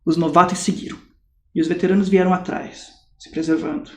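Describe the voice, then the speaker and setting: A man's voice: high voice, little pitch movement, fairly quick, a little mellow, lightly acted. An actor with a Brazilian accent playing a Swiss ex-mercenary in his late thirties who tells war stories in a dry, amused way. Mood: wry. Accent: Brazilian Portuguese. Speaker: acting student. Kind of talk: mock-documentary stage acting. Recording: echoey room.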